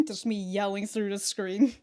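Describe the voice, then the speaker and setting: A woman hosting a reaction show, commenting casually in an animated, exclaiming way. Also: medium pitch, slow, soft background music. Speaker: host of an anime reaction show.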